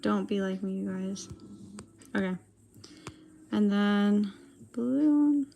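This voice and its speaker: Raspy young woman chatting while drawing. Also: medium pitch, slow, dark tone, a little hiss or hum. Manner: rambling, many fillers. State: dry, strained throat. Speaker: digital artist streamer. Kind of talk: casual chat while drawing.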